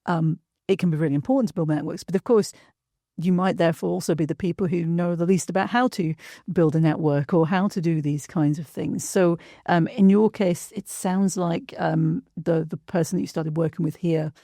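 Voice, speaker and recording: Woman host, low fry-tinged voice, supportive interviewer, dry close mic. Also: steady tone, normal speed, dark tone, dry recording. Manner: encouraging, frequent backchannel. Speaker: podcast host. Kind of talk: interviewing guests.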